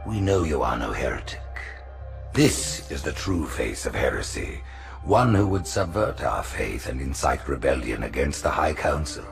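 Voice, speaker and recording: An actor voicing a scheming ancient alien high priest, silky, imperious and menacing. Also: male voice, very animated, moderate pace, creaky, soft background music, exaggerated voice.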